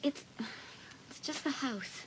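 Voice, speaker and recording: An actress playing a troubled, uneasy teenage schoolgirl with a husky voice in an audio drama. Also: takes it slowly, slight background noise, lightly acted, low-quality recording.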